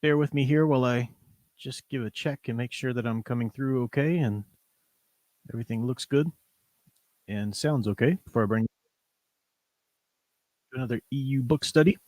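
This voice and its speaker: A man with a mid-pitched voice hosts an informal book chat. He rambles easily from point to point. The sound is dry, with very little room in it. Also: normal speed, pauses often, darkish tone, no room sound. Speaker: host. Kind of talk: casual live-stream book discussion. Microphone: newly borrowed, older studio microphone.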